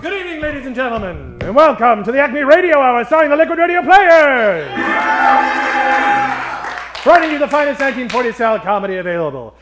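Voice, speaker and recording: Guy with a really high voice hosting a stage comedy show, old 1940s radio emcee style, big showman, echoey. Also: very animated, a little fast, over music, crowd noise. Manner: showman-like, projecting to an audience. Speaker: host of a live improvised radio comedy show. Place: stage in front of a live audience. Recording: echoey live stage sound.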